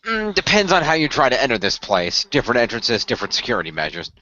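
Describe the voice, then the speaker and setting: A man playing an earnest tech expert in an amateur radio play, explaining things in a wordy, halting, technical way. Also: medium pitch, huge pitch swings, sharp tone. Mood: explanatory. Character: earnest male tech expert of a hero team, wordy planner. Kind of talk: amateur radio play, improvised-sounding dialogue. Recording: dull lo-fi voice recording.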